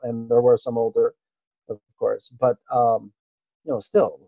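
A man's voice: mid-range voice, level tone, unhurried, gravelly, dry recording, dull audio. Easygoing middle-aged man from Okinawa. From Okinawa, Japan. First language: Japanese. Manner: easygoing, hesitant, with frequent fillers and soft laughs. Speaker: interview guest, martial artist. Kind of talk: relaxed reminiscing interview talk.

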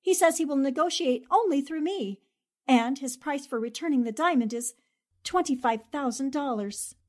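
A woman playing a brisk, self-possessed newspaper reporter in a 1940s-style radio drama. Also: sits high, a little mellow, lightly acted. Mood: urgent, businesslike.

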